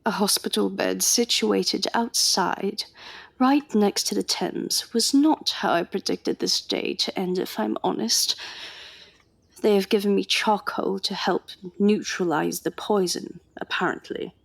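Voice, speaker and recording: A woman's voice: medium pitch, measured pace, gravelly, husky, dry recording. A woman playing a witty, stubborn Edwardian undercover agent in a radio drama, British accent, with formal, chatty period phrasing. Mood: wry, weakened. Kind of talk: radio drama acting and first-person narration.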